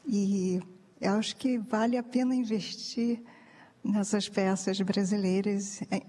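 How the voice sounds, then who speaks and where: Slow-speaking woman in a reverberant hall. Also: mid-range voice, fairly even intonation, warm tone. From Japan. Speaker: pianist, interview guest. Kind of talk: casual interview answers.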